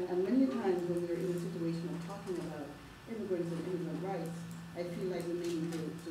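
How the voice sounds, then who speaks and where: Jamaican woman talking at a town hall, kinda low voice, echoey room, mic far off. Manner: earnest, halting, many fillers and restarts. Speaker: civil rights advocate speaking at a town hall. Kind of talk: public speech at a town hall. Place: public meeting hall. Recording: roomy hall recorded from afar, noisy.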